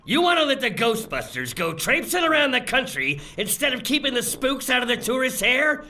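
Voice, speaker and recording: A man's voice: very high-pitched, sing-song, moderate pace, vocal fry, bright, forceful tone, recorded from a distance, faint music behind, exaggerated voice. A man playing an indignant, exasperated big-city mayor in a comedic audio drama. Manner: exasperated, theatrical.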